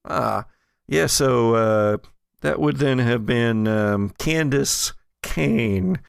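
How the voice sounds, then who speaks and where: A man narrating as game master in a tabletop role-play, halting, with many fillers. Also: medium pitch, creaky, clear, resonant tone, no echo, clean studio sound.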